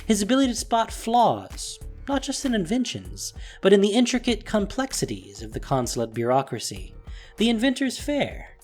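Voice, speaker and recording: A man's voice: high-pitched, wildly swooping pitch, normal speed, darkish tone. A man narrating a fantasy audio drama in a dramatic, scripted storytelling style. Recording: faint music behind voice. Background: faint music.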